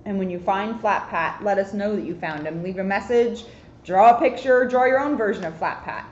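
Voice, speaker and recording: A woman's voice: medium pitch, moderate pace. A woman police officer reading a picture book aloud expressively, with chatty, casual asides. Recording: roomy echo, muffled, faint noise.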